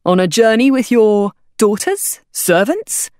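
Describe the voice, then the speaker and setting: A woman's voice: medium pitch, sing-song, takes it slowly, dry recording, studio quality. A woman doing a pushy, slick male hawker. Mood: taunting. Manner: wheedling, loud salesman patter. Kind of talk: audiobook character voice.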